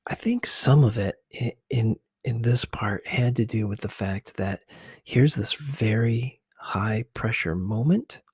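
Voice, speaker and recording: A man giving soft, unhurried ASMR commentary as a video host, hesitant and sometimes slipping toward a whisper. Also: medium pitch, breathy edge, dry recording, studio quality.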